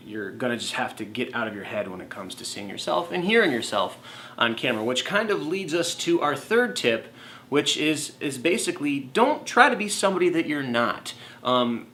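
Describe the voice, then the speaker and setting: A man speaks in an earnest, conversational way and often uses fillers as he shares tips. His voice sits at a middle pitch. A faint noise can be heard behind him. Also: sharp tone. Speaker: co-host, former TV meteorologist. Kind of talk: casual tips talk to camera.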